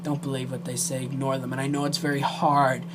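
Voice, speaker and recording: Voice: mid-range voice, little pitch movement, fairly quick. Earnest vlogger, noticeably noisy recording. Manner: earnest, emotional, hesitant. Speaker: amateur video creator. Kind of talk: earnest direct-to-viewer vlog talk.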